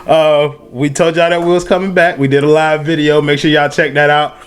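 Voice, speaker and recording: A man's voice: on the high side, flat delivery, fairly quick. A young man co-hosting a casual sports chat, teasing his partner and laughing a lot. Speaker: podcast co-host.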